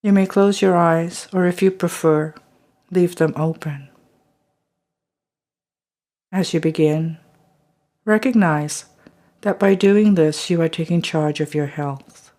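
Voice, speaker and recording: A calm meditation guide talks through a body scan in an unhurried, gentle way. Phrases fade softly, sometimes into a near whisper. The pitch sits at a middling level. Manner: slow, soft and calm, trailing into whispers at phrase ends.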